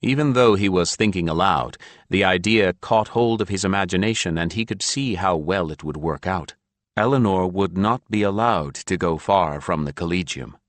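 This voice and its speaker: A man's voice: sits low, moderate pace, no room sound. A man reading a fantasy novel as a scripted audiobook narration.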